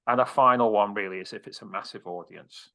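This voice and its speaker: A man's voice: fairly low pitch, a little fast, sharp tone. A man coaching on presentations, answering interview questions in a direct, casual way with casual swearing.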